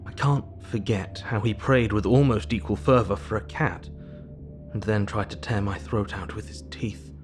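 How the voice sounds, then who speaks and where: An actor playing a stern, measured asylum doctor narrating his account formally in an audio drama. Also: fairly low pitch, fairly even intonation, faint music behind, natural voice. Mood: uneasy, earnest.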